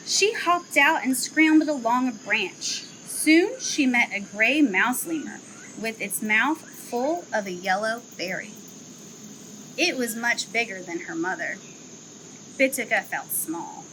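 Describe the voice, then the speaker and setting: A young woman who works at a zoo reads a children's picture book aloud outdoors, in an expressive storytelling manner. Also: on the high side, a little hiss or hum, poor audio. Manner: slow, expressive storytelling, livelier chat afterward. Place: outdoor zoo enclosure with trees.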